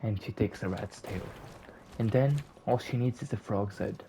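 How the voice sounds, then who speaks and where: A woman improvising a comic story for a friend, casually, in short phrases with deadpan pauses. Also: extremely low pitch, slight background noise.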